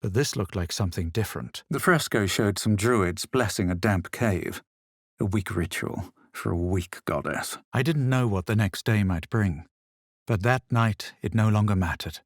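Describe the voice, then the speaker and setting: An actor playing a haughty, self-assured young male fantasy adventurer in a video game, speaking in a measured, superior, literary way. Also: lowish voice, no room sound, clean studio sound. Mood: brooding. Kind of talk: scripted game voice lines, narration and quips.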